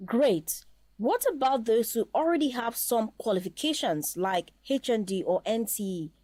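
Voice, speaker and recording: Lively-pitched woman interviewer. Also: normal speed, dry recording. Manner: polite, formal presenting. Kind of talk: scripted promotional interview hosting.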